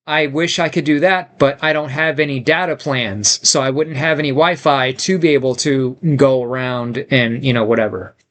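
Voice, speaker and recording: A man's voice: medium pitch, fairly even intonation, normal speed, hardly any pauses, fairly bright tone. A man casually chatting on a livestream, reading viewer comments aloud and rambling with lots of fillers and restarts. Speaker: streamer reading viewer chat.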